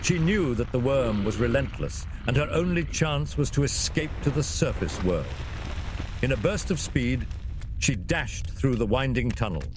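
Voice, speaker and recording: A man reads a fantasy adventure as its narrator. His medium-pitched voice swings expressively, with a theatrical, suspenseful delivery. Clear ambient noise runs behind him. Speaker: storyteller, narrator. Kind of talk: dramatic scripted fantasy narration.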